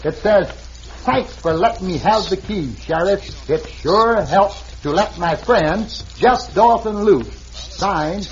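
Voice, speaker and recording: An actor playing an easygoing frontier deputy with a hesitant drawl, in 1940s radio acting, on an old radio recording with faint hiss. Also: male voice, high voice, animated, slow, lo-fi sound. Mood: puzzled.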